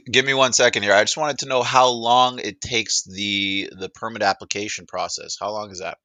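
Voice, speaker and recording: Bright-voiced man presenting, fairly low pitch. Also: fairly even intonation, normal speed, dry recording. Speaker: presenter demonstrating a voice assistant. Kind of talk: casual spoken product demo.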